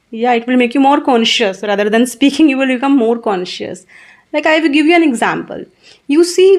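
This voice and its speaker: Indian woman tutor in her thirties, earnest and encouraging, fairly high pitch. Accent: Indian English. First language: Hindi. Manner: earnest, encouraging, repeats points. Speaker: English tutor. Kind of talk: interview answers, giving advice.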